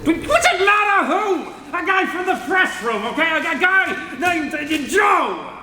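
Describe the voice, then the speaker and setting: A man playing an irascible old newspaper editor in a radio drama, gruff and flustered, stammering as he blusters. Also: extremely high pitch, big pitch swings, unhurried, raised voice, heavily acted. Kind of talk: old-time radio drama acting on stage. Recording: echoey hall, distant mic, faint noise.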